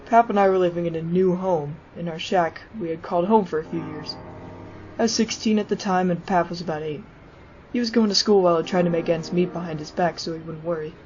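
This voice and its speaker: Woman voicing a low-toned protective teenage skeleton brother. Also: fairly even intonation, brisk, a little mellow, lightly acted. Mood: wistful. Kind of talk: fan comic dub, narration and dialogue. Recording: muffled lo-fi audio over music.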